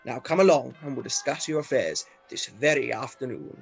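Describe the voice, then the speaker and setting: An actor playing an older, effusively generous Victorian gentleman in a theatrical radio play style. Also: medium pitch, expressive, moderate pace, vocal fry, faint music behind. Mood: jovial, generous.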